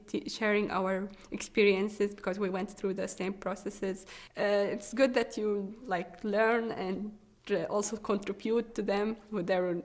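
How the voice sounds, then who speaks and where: A young woman from Syria with a Syrian Arabic accent and Arabic as her first language, a research assistant answering interview questions in halting English with many fillers and restarts. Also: medium pitch. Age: young adult.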